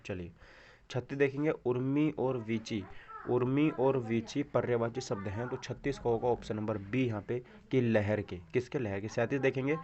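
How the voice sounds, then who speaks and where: Male coaching instructor for quiz walkthroughs, repetitive question-and-answer delivery, dull low-fidelity recording. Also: darkish tone. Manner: repeats answers, poses rhetorical check questions. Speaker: exam coaching teacher. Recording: dull, lo-fi microphone sound.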